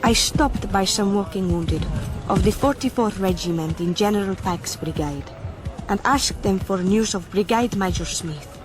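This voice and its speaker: Radio drama casting: actress as young Spanish army wife, devout and devoted, mid-range pitch, scored with music. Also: female voice, normal speed, natural voice. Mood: weary, sorrowful. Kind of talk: radio drama narration and dialogue. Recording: music behind the voice.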